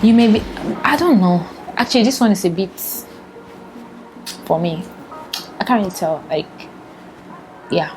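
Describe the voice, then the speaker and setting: A woman's voice: lowish voice, expressive, unhurried, long pauses, noisy background. A young Nigerian woman with a Nigerian accent, chatting casually to the camera in a vlog, laughing often and filling her talk with "like". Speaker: vlogger.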